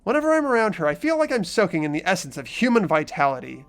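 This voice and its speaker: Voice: mid-range voice, big pitch swings, fast. A performer voicing a mild, earnest teenage schoolboy who narrates and chats in a fan-voiced visual novel game. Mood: reflective.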